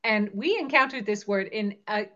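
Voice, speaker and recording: A woman's voice: medium pitch, moderate pace, a bit bright, muffled recording. A woman co-hosting a podcast, explaining things in a conversational style. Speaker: podcast co-host.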